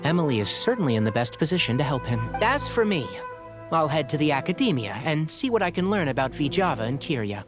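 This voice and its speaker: A man's voice: on the high side, sing-song, fast, over music. A man playing a clever, considerate young scholar in a video game, articulate and considered in his delivery.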